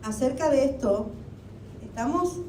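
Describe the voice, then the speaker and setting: Woman preacher, high mellow voice, chatty sermon full of questions, roomy hall with the mic set back. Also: expressive, unhurried. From Argentina. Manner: conversational preaching with rhetorical questions. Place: church hall. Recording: echoey hall, mic at a distance, faint rumble.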